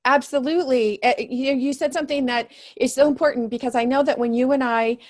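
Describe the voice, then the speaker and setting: Woman, interviewer, somewhat high pitch, affirming, conversational, video call. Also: fairly even intonation, brisk. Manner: warm, affirming, encouraging. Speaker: interviewer, host.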